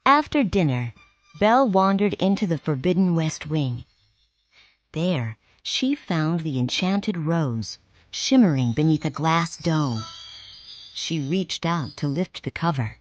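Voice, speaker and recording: A woman narrating a children's read-along storybook in a clear, expressive way. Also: deep voice, slow, no room sound, lo-fi sound. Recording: music playing behind.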